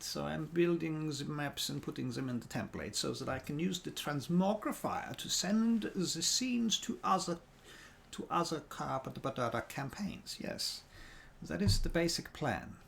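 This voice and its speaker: A tired man from New Zealand talks his way through a livestream, thinking aloud and muttering to himself in a put-on accent. His voice sits a little high and swings up and down a lot, with a gravelly edge. A faint hiss sits under the talk. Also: a bit bright. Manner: deliberately speaking in a put-on non-native accent. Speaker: dungeon master and streamer. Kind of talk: casual livestream chat while working.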